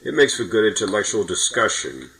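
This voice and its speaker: A man chatting casually as a podcast co-host, halting and agreeable. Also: lowish voice, big pitch swings, a bit bright, slight background noise, rough recording. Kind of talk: casual chat.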